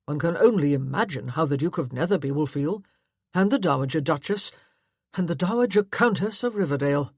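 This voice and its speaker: A woman acts out a stubborn husband in a period romance audiobook, curt and sarcastic. His voice is husky and forceful, with formal, clipped phrasing in a dry recording. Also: deep voice, lively intonation, a little fast, no echo, exaggerated voice. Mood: bitter.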